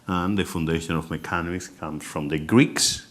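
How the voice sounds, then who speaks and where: A man's voice: sits low, steady tone, moderate pace, a bit bright. A man with a foreign accent giving an introductory science lecture to the public as a university panelist.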